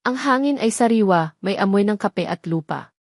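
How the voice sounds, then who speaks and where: A woman delivers scripted story narration in a dramatic audio format. Her pitch sits in the middle range, and the sound is dry, with hardly any room on it. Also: expressive, moderate pace, dry recording.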